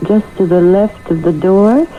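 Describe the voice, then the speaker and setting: An actress playing a cold, domineering, unrepentant murderous double in a radio drama, matter-of-fact and challenging. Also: female voice, medium pitch, measured pace, faint music behind, natural voice. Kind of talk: 1970s radio drama acting. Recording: tinny, noisy old radio broadcast.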